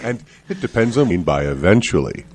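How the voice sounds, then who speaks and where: A man's voice: fairly low pitch, big pitch swings, fast talker, darkish tone, husky. A man co-hosting a radio show with casual comic banter and playful, teasing interjections. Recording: music behind the talk. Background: music.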